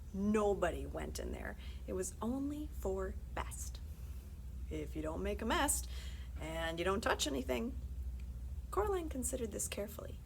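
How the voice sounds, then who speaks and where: A woman's voice: medium pitch, creaky, a little hiss or hum, low-quality recording. A woman reads a story aloud expressively, dramatically, with wry asides. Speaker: library story reader.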